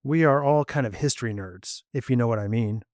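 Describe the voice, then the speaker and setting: A man's voice: medium pitch, brisk, a little mellow, no room sound, clean studio sound, natural voice. A man reading as a polite history-buff traveler. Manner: polite, courteous.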